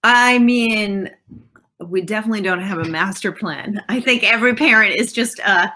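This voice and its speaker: A woman in her thirties from Switzerland, a guest on a video-call interview, answering in a thoughtful, measured way. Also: mid-range voice, pushed and bright. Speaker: guest, digital art consultant. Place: home office with bookshelves.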